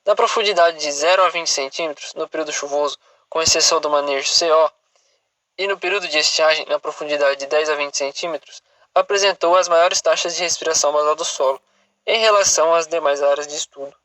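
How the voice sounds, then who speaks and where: A Brazilian man reads a formal academic text aloud in a bright, slightly high voice. His delivery is recited, like a student presenting, with the odd stumble. The sound is dry and close, with little room in it. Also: quick pace, no room sound, rough recording.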